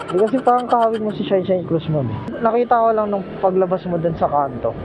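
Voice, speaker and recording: A man's voice: high voice, animated, fast, music in the background. A Filipino man chatting casually and teasingly with a passenger as a motorcycle taxi rider, speaking politely through a helmet intercom on the road. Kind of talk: casual joking chat with passenger. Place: outdoors riding a motorcycle in traffic. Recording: muffled intercom mic, loud road noise. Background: loud vehicle and street noise.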